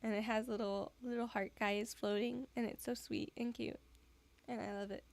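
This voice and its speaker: A woman's voice: a little high, little pitch movement, moderate pace. A woman live streamer chatting casually with her viewers, giggly and rambling. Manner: giggly, rambling, soft-spoken.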